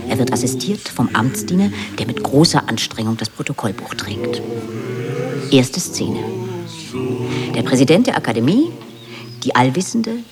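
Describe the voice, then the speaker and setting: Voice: medium pitch, noisy background. A narrator theatrically reading out titles, character lists and stage directions for a radio play.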